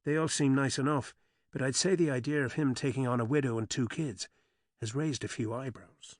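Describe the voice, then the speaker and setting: A male narrator voicing the heroine's teasing, upbeat brother, pitched higher than his narration. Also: brisk, dry recording, clean studio sound, close to an everyday voice. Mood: confiding. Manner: lively, teasing.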